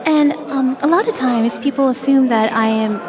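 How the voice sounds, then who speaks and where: A woman's voice: sits high, moderate pace. A woman from Japan, a voice actor guest, chatting in a bubbly way with frequent laughs. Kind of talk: casual interview chat. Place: event venue with crowd. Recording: loud crowd behind.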